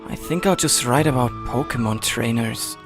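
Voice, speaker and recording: A man's voice: medium pitch, normal speed, over music, lightly acted. A man voicing a timid, self-doubting boy trainer in a manga dub, hesitant and introspective. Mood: reflective. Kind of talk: manga dub narration and dialogue.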